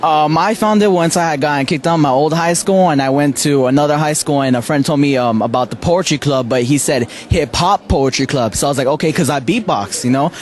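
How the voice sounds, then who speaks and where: A young man from East Los Angeles, candid and relaxed in a casual interview. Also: fairly high pitch, fairly quick, hardly any pauses, slight background noise. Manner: relaxed, candid, filler-heavy. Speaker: young poet.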